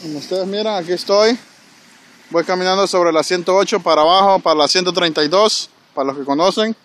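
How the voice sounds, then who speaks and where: A man's voice: sits high, brisk, fairly bright tone. A man, narrating a casual walking vlog outdoors on a phone, chatty and speaking straight to his viewers. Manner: chatty, addresses viewers directly, frequent "¿verdad?". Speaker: vlogger walking and filming. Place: snowy city street outdoors. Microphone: handheld phone camera. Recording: outdoor street noise, passing traffic.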